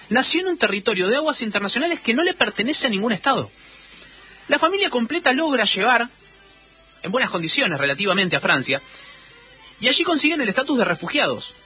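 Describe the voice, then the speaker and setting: Animated, bright-voiced Argentine man telling stories. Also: high voice. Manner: dramatic, rhetorical questions and emphatic pauses. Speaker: storytelling radio or podcast host. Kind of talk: conversational sports storytelling.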